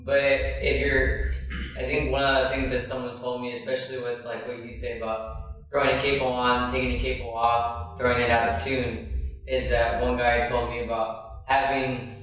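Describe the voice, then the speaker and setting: A man's voice: medium pitch, flat delivery, moderate pace, a bit bright. A man who plays guitar in a worship band, explaining his setup at length in a workshop discussion. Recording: echoey room, mic far off, faint music.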